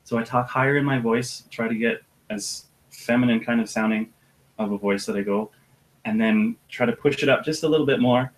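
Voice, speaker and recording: An audiobook narrator with a deep voice, talking about his work in a relaxed, thoughtful way with some hesitation. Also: fairly quick, poor audio. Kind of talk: casual interview chat.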